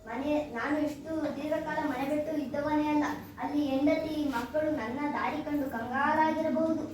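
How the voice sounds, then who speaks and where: Casting: Sudama, child plays a humble poor man, high voice, echoey hall, mic far off. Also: steady tone, moderate pace, natural voice. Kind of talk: school stage play. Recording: echoey hall, distant mic, faint hiss.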